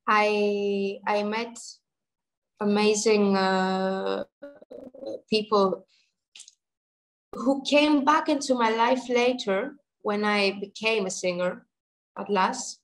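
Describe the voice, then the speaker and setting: A young woman speaks slowly and carefully. She halts, restarts and searches for her words as she answers an interviewer's questions about her singing. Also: medium pitch, rough recording. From Germany and Greece. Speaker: singer, interview guest.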